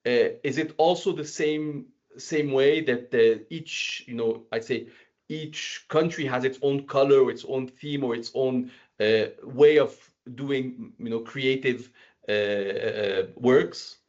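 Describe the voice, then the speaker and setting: A man's voice: mid-range voice, takes it slowly, darkish tone. A man interviewing a guest, asking long questions with repeated words and hesitations. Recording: dull lo-fi audio.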